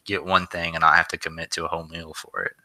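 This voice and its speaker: A young man co-hosts a podcast in a very deep voice with a bright, forceful edge. He talks easily and modestly. The sound is dry and close. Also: level tone, quick pace, no echo. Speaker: podcast stand-in host. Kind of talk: casual podcast chat.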